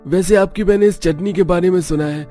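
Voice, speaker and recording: A man's voice: high voice, brisk, warm tone, faint music behind, pitched above the performer's own voice, lightly acted. A man voicing an eager, chatty food-stall customer in a cartoon story.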